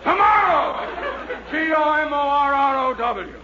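A man's voice: very high voice, animated, unhurried, crowd noise. An actor playing an impatient, exasperated man in a frantic hurry in a 1940s radio comedy. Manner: urgent, blustery. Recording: noisy, dull 1940s radio recording.

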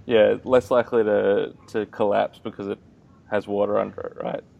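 A man hosting an interview, friendly and quick to laugh, with hesitant fillers. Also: on the low side, flat delivery, gravelly, lo-fi sound. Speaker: podcast host, gym owner. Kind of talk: casual interview chat.

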